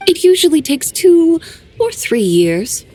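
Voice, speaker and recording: A woman playing a serene, eloquent immortal in a video game, speaking in a formal, poetic, measured manner. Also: sits high, big pitch swings, mellow, a little hiss or hum, full character voice. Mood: composed, frank.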